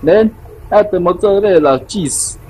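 A man's voice: high voice, measured pace, darkish tone, a little hiss or hum. A man hosting a casual recipe tutorial, chatty with simple phrasing and playful sing-song repeats. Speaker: cooking show host. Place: small indoor room.

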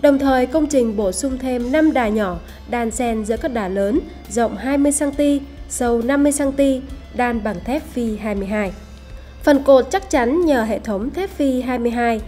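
A woman with a fairly high voice and a very soft, mellow tone narrates a promotional video. She reads from a script, and music plays clearly behind her. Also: moderate pace. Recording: voice over background music.

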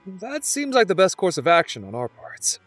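Man playing a husky, excitable young-sounding elf. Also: sits high, normal speed, dry recording, soft background music, exaggerated voice. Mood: relieved, wry. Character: excitable, wry part-elf adventurer, eager to please. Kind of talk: fantasy audio drama acting.

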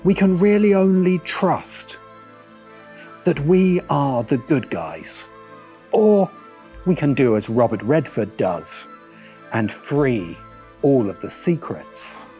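A middle-aged man narrates a video essay with a deliberate, wry delivery. His pitch sits in the middle, and light music plays behind him. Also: big pitch swings, dark tone. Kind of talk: scripted video essay commentary. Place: open-sided outdoor pavilion by a garden. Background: background music.

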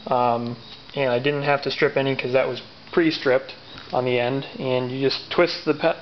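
A man with an average-pitched voice casually walks viewers through a DIY job step by step. He is matter-of-fact and pauses to find his words. The audio is dull camera-mic sound from a garage, with some light background noise. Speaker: DIY tutorial presenter. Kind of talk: casual unscripted how-to explanation. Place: garage or workshop with machinery. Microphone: handheld camera's built-in mic.

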